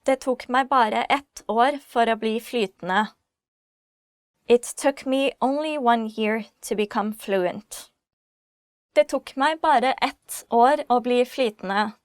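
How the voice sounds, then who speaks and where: Female language host, Norwegian accent, higher voice, unhurried instructional delivery with clear repeats. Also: no echo, clean studio sound. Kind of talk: instructional phrase lesson with repeats and casual explanations.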